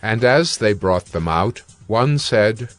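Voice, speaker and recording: A man's voice: on the low side, animated, takes it slowly, a bit bright. A man narrating scripture as a scripted dramatized reading.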